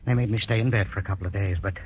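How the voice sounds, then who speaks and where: An actor playing a timid, henpecked husband in a 1940s radio drama, with a hesitant, flustered manner. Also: male voice, fairly low pitch, very fast, close to an everyday voice. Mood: contented. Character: timid, henpecked husband, a nervous dreamer telling his tale. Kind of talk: 1940s radio acting, first-person narration and dialogue. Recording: old radio broadcast with music.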